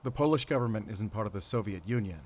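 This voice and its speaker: A man's voice: fairly low pitch, expressive, fast talker, a little mellow. A man playing a determined, defiant investigator on the run in a cinematic audio drama, speaking with intensity. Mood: focused, deliberate. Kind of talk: cinematic audio roleplay acting.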